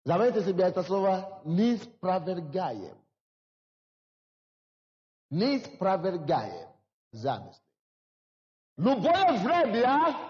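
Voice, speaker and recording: High-voiced preacher in a reverberant hall. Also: male voice. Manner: preaching with rising emphasis and repetition. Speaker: pastor. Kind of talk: sermon. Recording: dull lo-fi hall recording.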